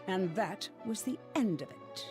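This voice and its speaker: A woman's voice: medium pitch, lively intonation, a little slow, music in the background, lightly acted. An actress playing an impatient, resentful young woman in a video game, speaking curtly. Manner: curt, resentful.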